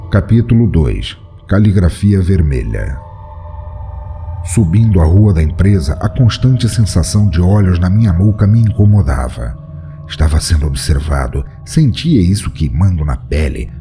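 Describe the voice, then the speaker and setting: A man's voice: low voice, mellow. A man reading a first-person horror story aloud in a dramatic, tense storytelling manner. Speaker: narrator.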